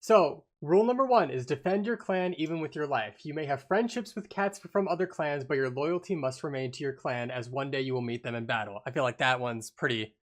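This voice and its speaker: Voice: mid-range voice, a little fast, few pauses. A podcast host leading a casual book discussion and reading out lists between informal comments.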